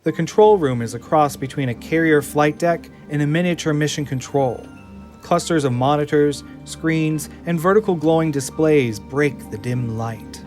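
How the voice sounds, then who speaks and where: A man's voice: on the high side, moderate pace, a little mellow. A man reading scripted screenplay narration for an audio drama. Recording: music under the voice.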